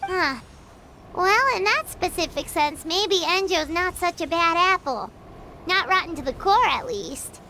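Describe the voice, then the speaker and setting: A woman voicing a small, excitable female companion who talks about herself in the third person with lots of exclamations. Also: very high voice, a little slow, a little hiss or hum, full character voice, poor audio. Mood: musing.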